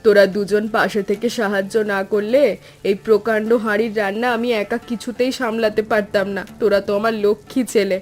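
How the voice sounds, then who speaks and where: Motherly housewife for animated tale, female actor: caring, earnest, steady intonation, faint music underneath. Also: mid-range voice, fairly quick, natural voice. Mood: affectionate. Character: gentle motherly village housewife, caring and selfless. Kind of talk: animated story dialogue.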